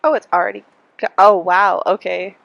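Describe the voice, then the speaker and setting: A woman's voice: medium pitch, steady tone, moderate pace, vocal fry, muffled recording, a little hiss or hum. A congested woman game streamer giving casual, slangy reaction commentary with exclamations. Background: game music.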